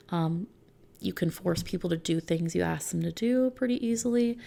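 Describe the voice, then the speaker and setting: A soft-spoken woman in an ASMR roleplay, gently and reassuringly explaining things like a service consultant, with breathy edges that drift toward a whisper. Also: lowish voice. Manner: gentle, reassuring, checking in with the listener.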